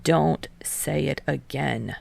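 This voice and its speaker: Sardonic teen volleyball boy, played by a woman narrator: dropped pitch, creaky, terse, grouchy, storybook read. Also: slow, darkish tone, exaggerated voice. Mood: irritated. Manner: terse, dry.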